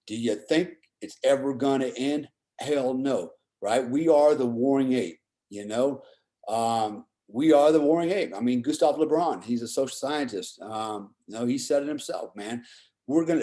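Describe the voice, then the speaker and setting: A man's voice: medium pitch, dark tone. A middle-aged man telling stories and giving opinions casually, in a blunt, profane way, often checking for agreement. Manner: often seeks agreement with "right?" and "you know". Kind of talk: casual conversational storytelling and opinion.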